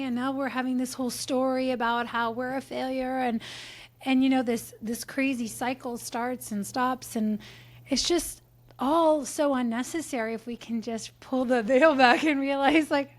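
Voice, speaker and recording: A woman's voice: high voice, fairly even intonation, normal speed. A woman in her forties hosting an interview, enthusiastic and affirming, laughing often as she talks. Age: 40s. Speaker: podcast host. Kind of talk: casual interview chat.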